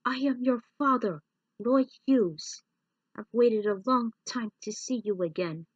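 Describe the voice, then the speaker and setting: A woman's voice: on the high side, unhurried, no room sound, muffled recording, voice pushed up. A woman voicing a somber, earnest male cyborg father in a story reading, solemn and emphatic. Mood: solemn longing.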